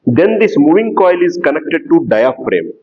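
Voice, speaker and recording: Guy lecturing, slow, explains everything twice, kinda high voice, muffled lo-fi sound. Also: very animated. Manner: slow, explanatory, repeats key terms. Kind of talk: classroom lecture.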